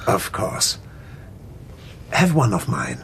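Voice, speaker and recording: Lowish-voiced, reserved clinic attendant, male. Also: wildly swooping pitch, slow pace, creaky, a bit bright, slight background noise, full character voice. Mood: polite, subdued. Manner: polite, subdued, matter-of-fact. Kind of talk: radio play acting, soft procedural talk.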